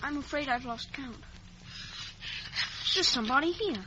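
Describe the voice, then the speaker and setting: A woman's voice: sits high, normal speed, lightly acted. A performer, heard as a woman, playing a timid, polite young boy with meek, hesitant speech in a 1950s radio drama. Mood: uneasy. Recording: dull, noisy vintage radio broadcast.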